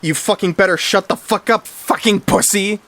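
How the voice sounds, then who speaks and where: Guy doing a nasty sweary cartoon animal girl, pushed up high, mean and taunting. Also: animated, normal speed, fairly bright tone. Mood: vicious, taunting. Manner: aggressive, profane, taunting. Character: foul-mouthed, vicious female cartoon animal, gleeful bully.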